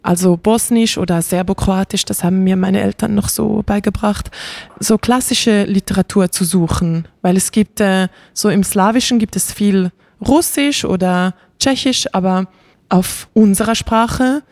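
A woman speaks as an interview guest in a casual, reflective way. Her voice sits at an average pitch for a woman. She sounds enthusiastic and often searches for the right word as she goes. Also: a little slow, darkish tone. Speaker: interview guest, classical singer.

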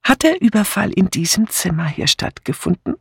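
Man voicing a gentle, chatty old lady, high-pitched. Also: very animated, moderate pace, gravelly, husky, no echo, clean studio sound, full character voice. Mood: chatty, curious. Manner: gentle, polite, slightly gossipy. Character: friendly, chatty old lady, mild, curious and shrewd.

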